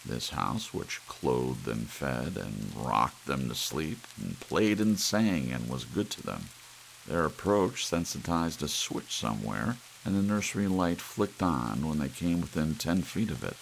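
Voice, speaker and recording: A man narrating a scripted suspense story as an ASMR audiobook, with soft rain behind him. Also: extremely low pitch, big pitch swings, measured pace, creaky, low-quality recording. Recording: faint hiss and soft rain.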